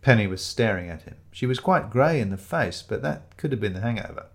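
A man narrating a scripted comic murder mystery in a wry, expressive storytelling manner. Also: on the low side, a little fast.

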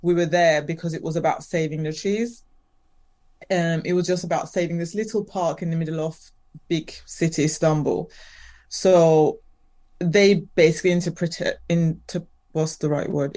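A woman's voice: low voice, unhurried, warm tone. Hesitant woman from Turkey, fillers. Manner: hesitant, many fillers and restarts. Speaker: guest. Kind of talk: casual podcast chat.

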